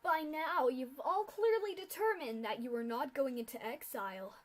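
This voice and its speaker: A woman voicing a cruel, smug male pegasus official who speaks loudly and clearly to captives. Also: high voice, normal speed, close to an everyday voice. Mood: gloating. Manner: loud and clear, gloating.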